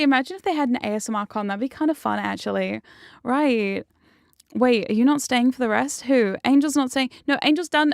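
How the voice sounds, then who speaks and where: A woman hosts a livestream with casual, bubbly chatter. Her voice sits a touch high and sounds dry and close. She giggles often and pokes fun at herself. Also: clear, resonant tone, no echo. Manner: bubbly, giggly, self-deprecating. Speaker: stream host.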